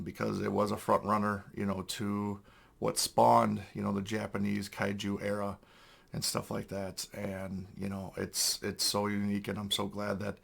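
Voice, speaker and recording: A young man, hoarse from losing his voice, hosting a casual unboxing review with many fillers and throat clearing. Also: fairly low pitch, fairly bright tone. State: hoarse, lost voice. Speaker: toy collector channel host.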